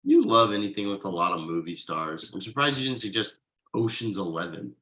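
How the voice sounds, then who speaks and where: A man playing Thomas, an earnest, frugal writer who gets excited easily, in a table read of a TV pilot script. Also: on the low side, fairly quick, poor audio. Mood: dry, mild teasing.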